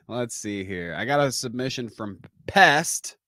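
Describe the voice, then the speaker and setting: A man's voice: mid-range voice, fairly bright tone. A man co-hosting a casual streaming podcast who laughs often and swears freely. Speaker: podcast co-host. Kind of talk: casual chat.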